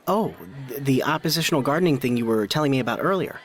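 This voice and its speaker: A man playing a curious, agreeable radio documentary host, measured and inquisitive. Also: medium pitch, animated, natural voice. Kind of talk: public radio documentary narration, parody.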